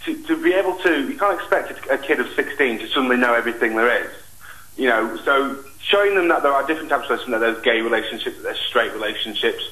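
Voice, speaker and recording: Young man, bright forceful voice, roomy echo, earnest, halting, phone-in caller. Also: medium pitch, expressive. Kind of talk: personal account on a live call.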